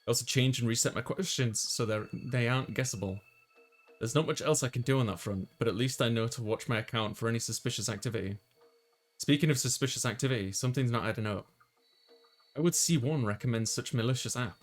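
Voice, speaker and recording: A man's voice: mid-range voice, fast talker. A man reading a visual novel aloud as a let's player, narrating and chatting casually, with game music behind him.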